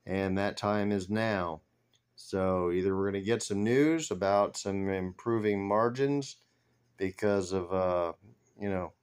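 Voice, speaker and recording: Low-pitched man with a clear, smooth voice. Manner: rambling, thinking aloud while reading figures. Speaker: stock commentator. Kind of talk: informal screen-share commentary on company finances.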